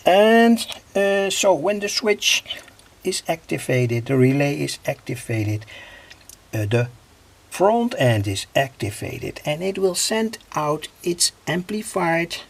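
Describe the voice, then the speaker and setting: A man with a husky voice walks through a homemade project in an informal, technical way. He takes his time, breaking sentences into short halting pieces, and his tone has a gravelly creak to it. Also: fairly high pitch, sing-song. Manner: halting, piecemeal phrasing with pauses. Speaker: hobby electronics video maker. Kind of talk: informal hands-on technical explanation.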